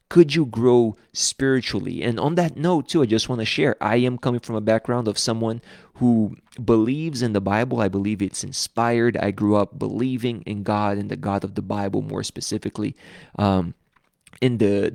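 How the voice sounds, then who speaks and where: A man from Brazil speaks with a Brazilian accent in a low voice with some gravelly fry, chatting openly to his live viewers and often restarting his sentences. The sound is dry and close, with almost no room around it. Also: darkish tone, dry recording. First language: Portuguese. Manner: open and conversational, with frequent restarts. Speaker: host of a live book-reading series. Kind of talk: casual live-stream talk.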